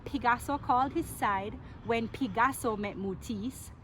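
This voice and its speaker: A woman reading a picture book aloud to children in an expressive, playful way, drawing out words and asking her listeners questions. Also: fairly high pitch, slight background noise. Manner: theatrical, playful, with drawn-out words and exclamations.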